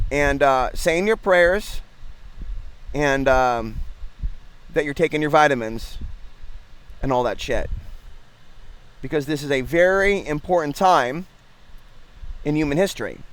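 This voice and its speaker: A man's voice: on the high side, animated, slow pace, pauses often, smooth, rough recording. A man delivering an impassioned, preachy monologue as an online video host, with a confrontational, grandiose and profane manner.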